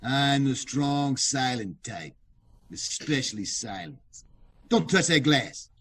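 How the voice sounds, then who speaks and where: A man's voice: mid-range voice, animated, takes it slowly, fairly bright tone. A man playing a fussy, cowardly germ-phobic gangster in an old-time radio comedy-mystery, with indignant tough-guy slang. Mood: huffy, defiant.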